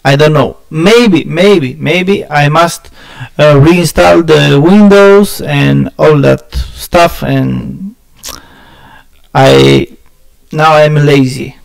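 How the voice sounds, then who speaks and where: A man from Romania with a Romanian accent chatting casually with viewers on a game stream, halting and searching for words, often laughing at himself. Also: a little high, vocal fry, rough recording. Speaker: gaming streamer.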